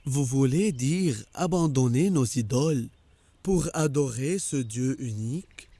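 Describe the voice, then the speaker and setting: An actor playing an earnest, respectful questioner in a French cartoon, speaking in a deliberate, inquiring way. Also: male voice, mid-range voice, lively intonation, dark tone, no echo. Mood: earnest inquiry.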